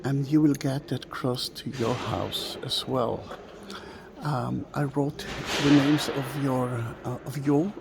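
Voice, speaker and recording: A man whose first language is Hebrew, guiding a slow, halting walking tour inside a large stone church, recorded on a camera mic. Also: mid-range voice, gravelly, background noise. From Israel. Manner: slow, halting, with many fillers. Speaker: tour guide.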